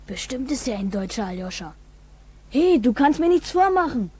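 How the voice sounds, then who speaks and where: Village kid: tough-talking, suspicious, high mellow rasp, 1960s radio drama. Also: female voice, very animated, moderate pace, exaggerated voice. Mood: suspicious, challenging. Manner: blunt, challenging. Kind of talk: 1960s German school radio drama acting.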